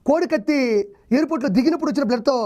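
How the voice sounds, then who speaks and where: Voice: sits high, racing, muffled recording. A political commentator delivering mocking rhetorical questions in a troll video. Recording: clearly noisy, clicky recording.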